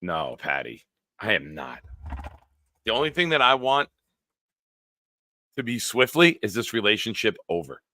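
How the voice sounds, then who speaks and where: A man in his forties hosting a live-stream show, reading viewer comments aloud and bantering bluntly with a smooth, deep voice. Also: very animated, a little slow, long pauses, bright, forceful tone, no room sound. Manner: banters with chat, blunt and profane.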